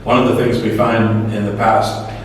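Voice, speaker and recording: A man, a program organizer answering interview questions in a relaxed way, with many hesitations. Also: fairly low pitch, moderate pace. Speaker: guest, study-abroad program organizer. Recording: echoey room, distant from mic, faint noise.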